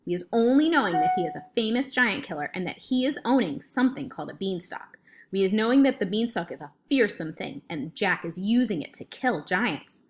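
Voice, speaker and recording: Lady doing a gentle giant from a kids book, whispery and secretive, careful. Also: medium pitch, animated, fairly quick, close to an everyday voice. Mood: confiding. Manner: hushed, whispered, secretive explaining. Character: kindly male giant with muddled grammar, cautious, confiding. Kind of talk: storybook read-aloud.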